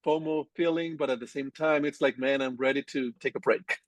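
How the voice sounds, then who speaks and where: A man in his forties with a Colombian Spanish accent, talking about his work in a loose, easygoing way and often restarting his sentences. His voice sits in a middle pitch. The recording is close and dry, with almost no room sound. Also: fairly quick, no room sound. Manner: relaxed, rambling, frequent restarts. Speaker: agency founder guest. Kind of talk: casual interview conversation.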